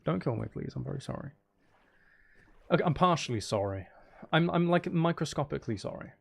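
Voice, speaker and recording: A man's voice: fairly high pitch, expressive, fairly quick, creaky, slightly rough. A man hosting a casual gaming livestream, chatting in a playful, teasing, rambling way and sounding a little tired. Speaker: livestream host.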